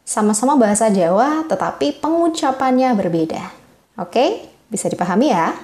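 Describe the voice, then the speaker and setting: A woman tutor working through exam questions, reading the answer options slowly and explaining them patiently to her viewers. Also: a little high, expressive, darkish tone, reverberant.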